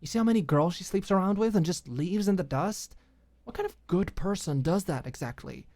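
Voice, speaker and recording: A woman reader acts out a clingy young girlfriend in an audiobook romance drama. She is pushy and jealous, insisting on her point. The voice sits higher than the narrator's own, in a dry, close recording. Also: lively intonation, fairly quick, warm tone, no room sound. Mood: defensive. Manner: coaxing, insistent, scornful when crossed.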